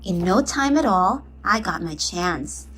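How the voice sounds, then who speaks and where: A woman's voice: mid-range voice, lively intonation, measured pace, a bit bright. A woman reading a children's storybook aloud, expressively acting out the dialogue. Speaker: storybook reader.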